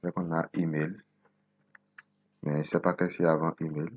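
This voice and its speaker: A man in his twenties from Benin, whose first language is Nago, hosting a coding tutorial and thinking aloud slowly as he types, repeating words to himself. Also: very deep voice, little pitch movement, no room sound, lo-fi sound. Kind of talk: casual screen-recorded coding tutorial.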